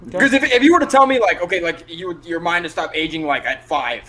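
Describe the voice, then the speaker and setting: A man's voice: high voice, fast talker, bright, forceful tone. A man co-hosting a casual chat, hesitant and laughing, hedging with lots of fillers. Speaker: co-host.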